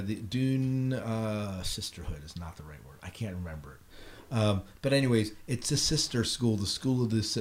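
A man's voice: lowish voice, a little mellow. A middle-aged American man hosting a podcast, chatting casually about what he has been watching and reading. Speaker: podcast host. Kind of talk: casual chat about shows and films. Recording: clearly noisy podcast recording.

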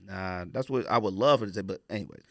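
A man's voice: low voice, quick pace, gravelly, fairly bright tone, no echo, clean studio sound. A man co-hosting a casual sneaker podcast, arguing and bantering in loose slang. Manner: slangy, argumentative. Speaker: podcast co-host.

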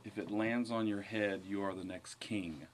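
An American man with a fairly low voice answers casually as an interview guest. He is laconic and understated, with some hesitation. Faint ambient noise sits behind him. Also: fairly even intonation, normal speed. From Ohio, United States. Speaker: band musician, interview guest.

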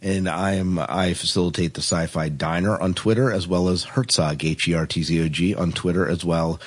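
A man's voice: deep voice, steady tone, moderate pace. A man hosting a casual podcast chat, often repeating and restarting his phrases as he talks. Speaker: podcast host.

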